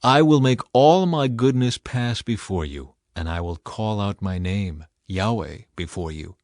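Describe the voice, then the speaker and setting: A man's voice: on the low side, animated, measured pace, darkish tone, no echo. A grave, commanding God, voiced by a male reader, speaks in an audio scripture. The delivery is solemn and authoritative, in a very dry recording. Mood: solemn assurance.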